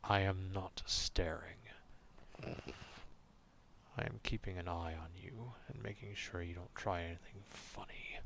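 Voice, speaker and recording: A man playing a gruff, distrustful beast who guards his forest, grumbling and haughty in an ASMR roleplay monologue with a low growl. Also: fairly bright tone, slight background noise, heavily acted. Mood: gruff, defensive.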